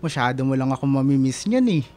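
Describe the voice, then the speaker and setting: Casting: actor for playful young male best friend, radio drama, medium pitch, teasing and exclamatory banter. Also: expressive, fast, a little mellow, close to an everyday voice. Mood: teasing, upbeat.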